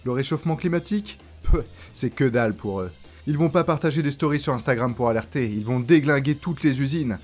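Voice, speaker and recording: A man's voice: mid-range voice, fairly quick, darkish tone, faint music behind. A man presenting a scripted comedic video essay in a sarcastic, informal way, as a YouTuber.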